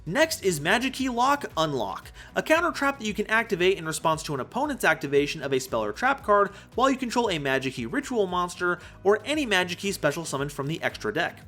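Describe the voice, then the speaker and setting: A man giving a playful, emphatic scripted explainer as a video host. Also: high voice. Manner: animated, playful, emphatic delivery. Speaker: host of a card game analysis video. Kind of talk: scripted explainer narration with jokes. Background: background music.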